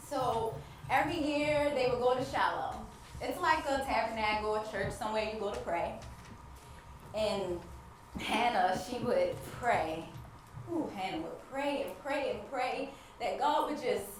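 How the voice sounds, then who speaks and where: A high-voiced woman heard from far off in a very echoey hall with faint noise. She is an actress playing a devout wife, anguished yet hopeful, delivering an emphatic theatrical monologue to the audience with dramatic pauses. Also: natural voice. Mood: indignant storytelling. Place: stage play in a large echoey room.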